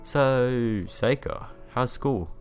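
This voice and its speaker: A man voicing a kindly middle-aged father in a visual novel, gentle and courteous. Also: medium pitch, very slow, clean tone, soft background music. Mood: friendly, curious. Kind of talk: visual novel character dialogue.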